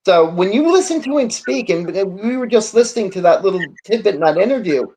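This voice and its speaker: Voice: medium pitch, animated, a little fast, dark tone, breathy edge. A person in a casual baseball talk show discussion, agreeable and reflective, repeating points for emphasis, on a noisy recording.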